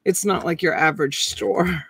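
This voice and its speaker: A woman's voice: fairly low pitch, normal speed, creaky, fairly bright tone, slightly rough, dry recording, studio quality. A woman in her forties hosting a casual live-stream auction from her home, talking in a chatty, folksy way and drawing out the calls for bids. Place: home room full of plush toys and a dress form. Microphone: webcam on a computer.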